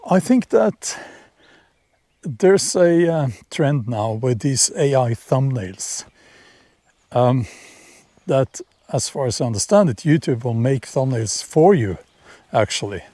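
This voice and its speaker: A middle-aged man with a Norwegian accent talks to camera outdoors, slow and deliberate, often repeating words and restarting. His voice is mellow and relaxed, recorded close and dry. The tone is casual and opinionated, like a personal vlog. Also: medium pitch, dry recording. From Norway. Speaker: vlogger. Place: outdoors in nature, near horses.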